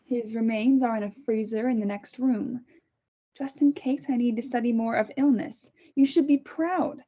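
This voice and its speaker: A woman voicing a calm, clinical woman who holds a captive and experiments on her, reading in a table read. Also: on the high side, normal speed, close to an everyday voice. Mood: cold. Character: coolly menacing woman doctor-kidnapper Beth. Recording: dull lo-fi recording.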